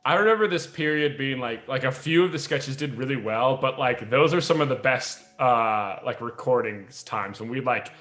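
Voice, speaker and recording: A man chatting casually and reminiscing as a podcast host, in an animated, storytelling way. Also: mid-range voice, moderate pace, hardly any pauses. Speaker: podcast host and audio drama creator. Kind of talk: casual chat, reminiscing among friends. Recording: roomy echo, faint music behind.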